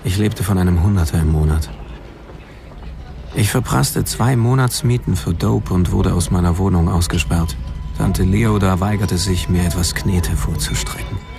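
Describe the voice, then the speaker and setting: Gravelly ex-addict over loud music. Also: male voice, sits low, normal speed. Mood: frank, unsentimental. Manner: terse, staccato confession. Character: former homeless addict, bluntly confessing his past. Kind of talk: scripted radio drama narration.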